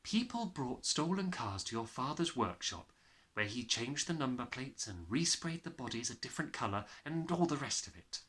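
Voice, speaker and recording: A man voicing a gentle, kindly woman teacher in a storybook, soft-spoken, calm and measured. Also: medium pitch. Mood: matter-of-fact.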